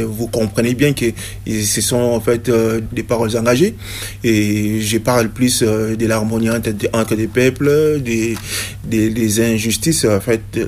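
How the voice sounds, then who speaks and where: A man from Congo, a reggae musician answering interview questions in a halting way, full of hesitations and restarts. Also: mid-range voice, a little mellow, slight background noise.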